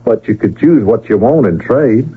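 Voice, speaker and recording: An actor playing a cheeky traveling peddler in a radio western, with a folksy, teasing American drawl. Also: male voice, medium pitch, animated, moderate pace, lightly acted. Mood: friendly. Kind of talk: 1970s radio western acting. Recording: noisy, tinny old radio broadcast.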